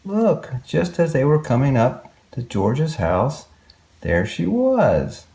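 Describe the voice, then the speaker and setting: A man's voice: a little high, sing-song, slow, creaky, mellow. A man reading a children's story aloud expressively, with exclamations and wondering asides to young listeners.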